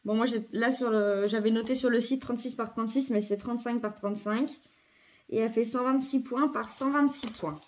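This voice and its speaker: A woman's voice: fairly high pitch, fairly even intonation, brisk, darkish tone. A woman with a cold chatting casually through a craft unboxing at home, hesitant, with many fillers and self-corrections. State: has a cold. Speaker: craft vlogger.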